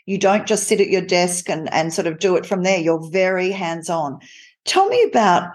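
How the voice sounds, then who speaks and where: A woman's voice: fairly low pitch, animated, normal speed. Admiring woman interviewer. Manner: warm, admiring, rambling questions. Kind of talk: conversational interview questions.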